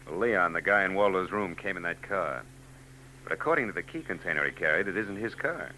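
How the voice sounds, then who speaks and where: A man's voice: low-pitched, animated, fairly quick, sharp tone, rough recording. An actor playing a hard-boiled male private eye who narrates and trades wisecracks, in a 1940s radio drama. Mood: sardonic. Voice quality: dry, wisecracking. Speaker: narrator and lead. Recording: old radio broadcast tape with faint noise.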